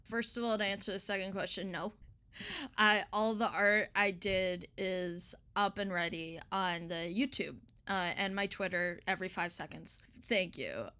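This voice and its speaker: Female illustrator as interview guest: mid-pitched, informal, self-deprecating, giggly, chatty delivery. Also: unhurried, bright, forceful tone, clean tone. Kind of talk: casual chat interview.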